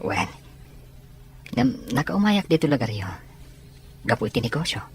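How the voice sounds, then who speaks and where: A woman with a Filipino accent acting a concerned, gentle suitor who probes and consoles in a melodramatic audio drama. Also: a bass voice, sing-song, moderate pace, slight background noise, full character voice. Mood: curious, concerned. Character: concerned, gentle-hearted suitor from a business family.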